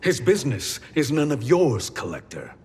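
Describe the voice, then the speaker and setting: A man's voice: mid-range voice, big pitch swings, normal speed, a little mellow, a little hiss or hum. An actor playing a stern, wary and noble warrior shaman in a video game cutscene, firm and earnest. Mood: defiant. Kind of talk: cinematic video game acting.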